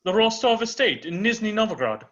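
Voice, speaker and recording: A man acts out an aristocratic Russian count facing his judges in a dramatic reading. His voice is bright and highly animated, with formal, flippant eloquence. The recording is dull and muffled. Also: a little fast, slight background noise. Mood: wryly unruffled. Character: witty, unflappable aristocratic Russian count on trial.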